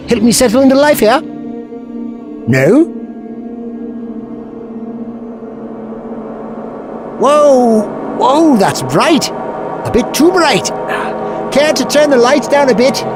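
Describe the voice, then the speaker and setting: A man's voice: very high-pitched, full character voice. A man playing an eccentric, witty time-travelling Doctor in an audio drama, wry, theatrical and articulate. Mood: flustered, wry. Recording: music behind.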